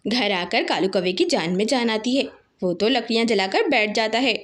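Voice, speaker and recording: A woman's voice: on the high side, moderate pace. A woman storyteller narrating a scripted children's tale.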